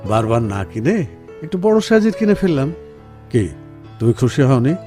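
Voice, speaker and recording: A man acting an affectionate, well-off husband in a radio play, talking tenderly and gently persuading his sensitive wife. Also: medium pitch, big pitch swings, moderate pace, close to an everyday voice. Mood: amused, reassuring. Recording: music under the dialogue. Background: background music.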